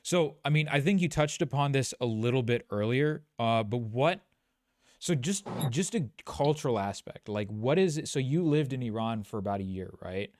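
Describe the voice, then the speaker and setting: A man hosting a political stream, asking questions in a measured, hedging way, with many restarts. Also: medium pitch, animated, background noise. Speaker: streamer host. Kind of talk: casual political discussion.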